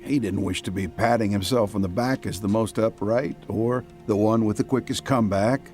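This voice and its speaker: A man's voice: lowish voice, normal speed, darkish tone, faint music behind. A man hosting and narrating an audio drama series in a scripted style. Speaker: host and narrator.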